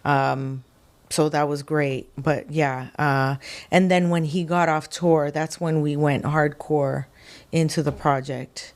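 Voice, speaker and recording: Animated, low-voiced woman telling stories. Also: takes it slowly. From Quebec, Canada. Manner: animated storytelling, acts out quotes with laughs. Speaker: singer-songwriter guest. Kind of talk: casual interview chat.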